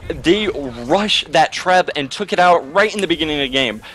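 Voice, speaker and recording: A man's voice: fairly high pitch, expressive, moderate pace, fairly bright tone. A man co-hosting a game broadcast, upbeat and prone to laughing while chatting and giving color commentary. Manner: upbeat, laughs often, keeps saying "absolutely". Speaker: esports co-caster and interviewer. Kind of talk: casual chat and color commentary.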